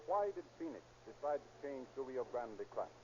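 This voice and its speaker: A man's voice: medium pitch, lively intonation, normal speed, muffled recording, music in the background. A man announcing and reading ad copy in a formal, emphatic 1930s radio salesman style, on a vintage radio recording. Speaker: narrator and announcer.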